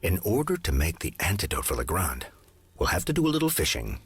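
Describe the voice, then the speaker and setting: A composed gentleman detective from an adventure game. His voice is deep and very husky, moving expressively as he gives purposeful guidance. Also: huge pitch swings, a little fast, soft background music, exaggerated voice. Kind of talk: video game character acting.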